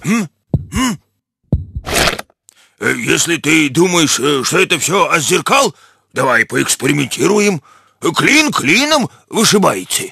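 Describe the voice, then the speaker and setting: Creaky, high-voiced jolly cartoon critter. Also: male voice, sing-song, takes it slowly, long pauses, pushed and bright, exaggerated voice. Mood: curious. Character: jolly, reassuring male cartoon animal pal. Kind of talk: cartoon voice acting.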